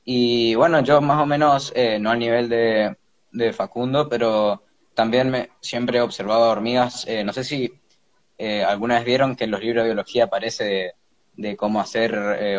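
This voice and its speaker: A sixteen-year-old young man chatting casually and haltingly about his hobby as a guest. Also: mid-range voice, steady tone, dull audio. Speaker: guest hobbyist ant keeper. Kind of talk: casual chat.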